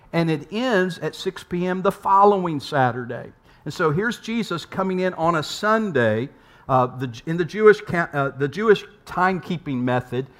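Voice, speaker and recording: A man from the United States preaches in a conversational, unhurried sermon voice. His pitch sits a little on the high side for a man, and he leans on rhetorical questions and restarts words as he builds his point. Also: a bit bright. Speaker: pastor.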